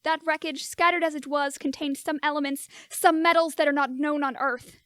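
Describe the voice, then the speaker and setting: A woman's voice: high-pitched, steady tone, moderate pace, a bit bright, no room sound, studio quality. A woman playing a grave male scientist in an amateur radio play, speaking in a solemn, portentous manner. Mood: grave, urgent. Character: grave male scientist, an excited expert on Mars.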